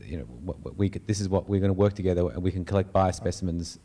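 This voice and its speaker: A man's voice: sits low, fast, mellow. A man moderating a panel informally, thinking aloud and repeating his phrases as he goes. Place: large auditorium stage.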